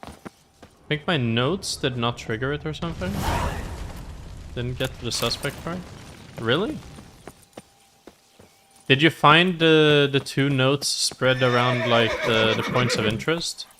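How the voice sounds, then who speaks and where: A man's voice: medium pitch, unhurried, pauses often, noisy background. A man streaming a video game with casual, off-the-cuff commentary. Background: game music and horse sounds.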